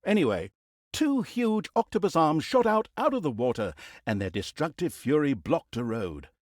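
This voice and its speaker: A man's voice: fairly high pitch, very animated, moderate pace, dry recording, studio quality. A man playing a mock-grand, sardonic narrator of a villain's exploits in a fantasy video game, telling the story in a hammy theatrical way. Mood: wry, dramatic.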